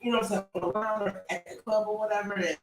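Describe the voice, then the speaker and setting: A woman in her thirties telling stories in a casual group chat, animated, slangy and laughing often. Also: mid-range voice, very fast. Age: 30s. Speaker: podcast co-host. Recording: echoey room, mic at a distance, faint noise.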